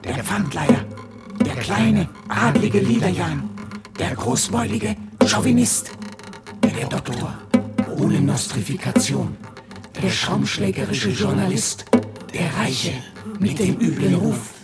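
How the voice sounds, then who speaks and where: A man narrating a literary radio play with measured, pointed phrasing. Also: high voice, expressive, vocal fry. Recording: loud music behind the narration. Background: loud music.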